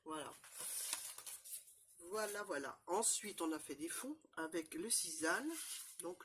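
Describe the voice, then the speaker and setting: A woman with a cold, chatting casually through a crafting demonstration, rambling and filling pauses often. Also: lowish voice, a bit bright, slight background noise. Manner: chatty, rambling, constant fillers and "voilà". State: has a cold, stuffy. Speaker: crafting channel host. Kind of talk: casual show-and-tell crafting demo.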